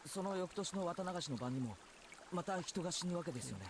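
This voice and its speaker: High-pitched, agitated teenage boy. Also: expressive, very fast, noisy background. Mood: impatient, insistent. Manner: stammering, insistent. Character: agitated, unsettled teenage boy in a mystery game.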